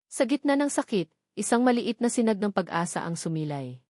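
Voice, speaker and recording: A woman narrates a dramatic story in a scripted storytelling style. Her pitch sits in the middle range for a woman. The sound is dry, with almost no room in it. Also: big pitch swings, fairly quick, darkish tone, no echo.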